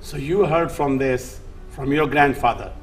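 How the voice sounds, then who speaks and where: A man hosting a TV interview, speaking earnestly and deliberately, with pauses and fillers. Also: mid-range voice, faint music behind. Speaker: host.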